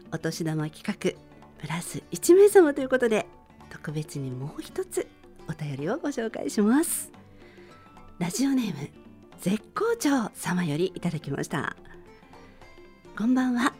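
A woman hosts a relaxed bedtime radio programme in a fairly high voice. Her intonation rises and falls in a very lively way as she addresses listeners politely and gently. Music plays faintly behind her. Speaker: radio show host.

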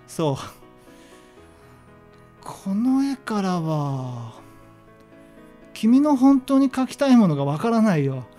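A man playing a frank but gentle high school art teacher, speaking calmly and casually in a radio drama. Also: slow, pauses often, faint music behind, exaggerated voice. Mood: probing, frank. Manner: calm, casual, probing.

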